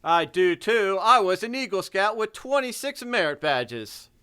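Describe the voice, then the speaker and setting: A pestering male neighbor, as a man acts him out, jovial and boastful in old radio comedy fashion. The delivery is hammy and blustery, with lively swooping pitch, an unhurried pace and a smooth, clear tone. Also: full character voice. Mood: indignant boasting.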